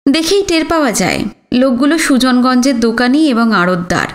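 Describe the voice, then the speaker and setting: A woman's voice: high voice, expressive, normal speed. A woman storyteller reading a scripted literary narration for an audiobook.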